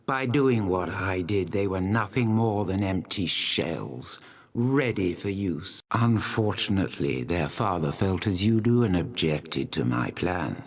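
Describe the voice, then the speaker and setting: Ancient bitter dragon for a fantasy game, really low voice, solemn, menacing, takes its time. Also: male voice, lively intonation, exaggerated voice. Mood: solemn, unrepentant. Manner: slow, deliberate, solemn delivery. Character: ancient reborn dragon, proud, embittered and coldly menacing. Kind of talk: dramatic fantasy video game acting.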